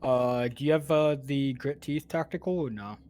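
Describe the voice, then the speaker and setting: A man's voice: mid-range voice, moderate pace, a little mellow. A man chatting casually with fellow players over gaming voice chat. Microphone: online voice chat.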